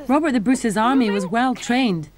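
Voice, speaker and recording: A woman's voice: on the high side, moderate pace, slight background noise. A Scottish woman presenting in the style of scripted educational television narration.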